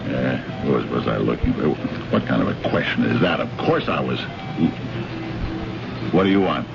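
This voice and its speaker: A man's voice: a bass voice, lively intonation, normal speed, vocal fry, full character voice. An actor playing an officious, overbearing man from a government ministry in an old-time radio drama, brusque, blustering and given to lecturing. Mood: irritated. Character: officious, overbearing male trade official, jealous and dismissive. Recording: dull, noisy vintage radio recording.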